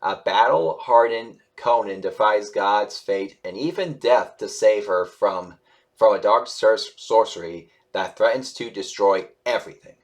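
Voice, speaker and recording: A man with a somewhat low voice presents casual online news commentary. He moves between reading aloud and offering his own take. His delivery is halting and rambling, and he often corrects himself and sounds unsure. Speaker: YouTube host reviewing animation news.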